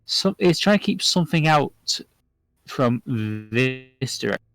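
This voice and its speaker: A man running a tabletop game as game master, narrating in a loose, relaxed way with frequent restarts. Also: on the high side, measured pace.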